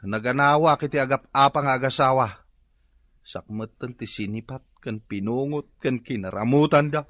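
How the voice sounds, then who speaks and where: An actor playing a hapless, excitable, complaining market vendor in an Ilocano comedy radio drama, with an Ilocano accent. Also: male voice, mid-range voice, normal speed, dry recording, clean studio sound, lightly acted. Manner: exaggerated, plaintive. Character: hapless, whining married male tilapia vendor, excitable complainer.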